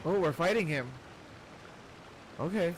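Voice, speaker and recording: A man's voice: on the high side, lively intonation, measured pace, noisy background. A man giving casual reactions as a streamer playing a game.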